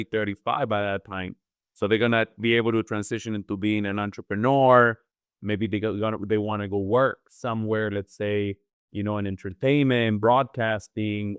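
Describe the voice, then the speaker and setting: A man's voice: lowish voice, clear, resonant tone, no room sound, clean studio sound. A man from Chile speaking as a financial planner guest, explaining things conversationally and often checking in with the listener. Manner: explanatory, often seeks agreement with "right?".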